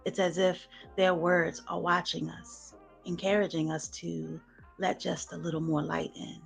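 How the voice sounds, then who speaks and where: Woman reading slow sexy poetry, soft n smooth, low husky fry, bit of music under. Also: flat delivery. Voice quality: soft, smooth, breathy-edged voice. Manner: hushed, slow sensual delivery with soft laughs in chat. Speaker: guest poet. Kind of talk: sensual spoken word poetry.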